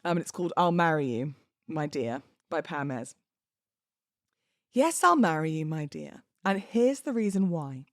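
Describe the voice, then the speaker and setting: A British woman hosting a podcast, moving between informal talk and expressive readings of verse. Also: deep voice, unhurried, dry recording, clean studio sound. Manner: chatty, informal, giggly. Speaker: podcast host. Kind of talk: casual podcast chat with dramatic poetry readings.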